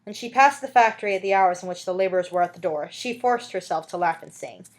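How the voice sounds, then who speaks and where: A woman narrating a story as an amateur audiobook reader, in an expressive literary style. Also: on the low side, fast talker.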